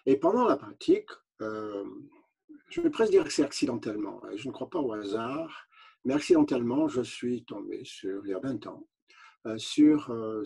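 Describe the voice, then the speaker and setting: An older man who grew up speaking English, a retired doctor explaining at length in a measured way, with frequent hesitations and restarts. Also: mid-range voice, creaky, dark tone, husky. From Canada. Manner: unhurried, many hesitations and restarts. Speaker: physician. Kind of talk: explaining to an interviewer.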